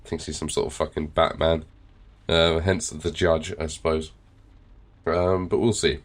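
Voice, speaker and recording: Brief: congested man, low voice, rambling casual game commentary. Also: little pitch movement, measured pace. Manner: rambling, with fillers and swearing. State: nasal and congested, recovering from illness. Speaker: let's play host. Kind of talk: casual gameplay commentary and reading game text.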